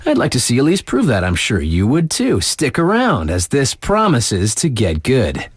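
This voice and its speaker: A man's voice: medium pitch, wildly swooping pitch, normal speed, husky, no echo, clean studio sound. A man playing a hip, laid-back radio DJ on a snowboard mountain in a video game, introducing tracks with slangy, cocky, playful patter. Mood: upbeat, cool.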